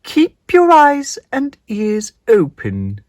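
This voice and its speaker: A storybook narrator voicing an enormous hairy spider fairy godmother, speaking in slow, loud, commanding pronouncements. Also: medium pitch, huge pitch swings, no echo, studio quality, voice pushed up, heavily acted. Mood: commanding, grand.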